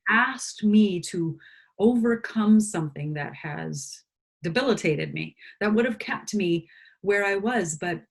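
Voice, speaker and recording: A woman's voice: medium pitch, expressive, slow pace, vocal fry. A woman from Canada, a singer-songwriter and interview guest, speaking earnestly and with conviction. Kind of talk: reflective conversation.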